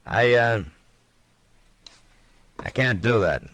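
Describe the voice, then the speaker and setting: An American actor playing a calm, firm, fair-minded frontier marshal in an old-time radio western, speaking in a laconic, dryly wry way. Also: male voice, medium pitch, very slow, dry recording, highs cut off. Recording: old radio drama, music behind.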